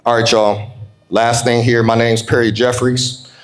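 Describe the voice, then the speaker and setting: A man, middle-aged, delivering a motivational speech in an informal, folksy way, calling on his listeners and slowing down for emphasis on key phrases. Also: mid-range voice, flat delivery. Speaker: motivational keynote speaker. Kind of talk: motivational keynote speech to an audience.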